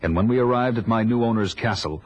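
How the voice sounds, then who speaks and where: Man acting a low-voiced grim barbarian storyteller. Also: fast talker, muffled recording, close to an everyday voice. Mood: tense, grim. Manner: grave, dramatic. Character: grim, vengeful grown barbarian warrior recounting his life. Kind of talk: dramatic first-person narration, 1980s audio drama.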